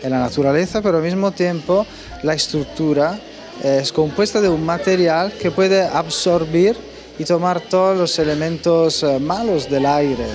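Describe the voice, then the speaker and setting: A man's voice: on the high side, normal speed, music in the background. Hesitant man with an Italian accent. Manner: hesitant, many fillers and restarts. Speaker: pavilion representative. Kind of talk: on-camera interview. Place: indoor exhibition hall. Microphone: handheld mic.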